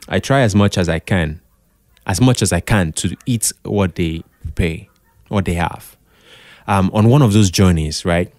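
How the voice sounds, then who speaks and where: A Nigerian man talking casually as an interview guest, expressive, slipping into Pidgin and repeating phrases for emphasis. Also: sits low, dry recording, studio quality. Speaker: podcast guest, radio drama producer.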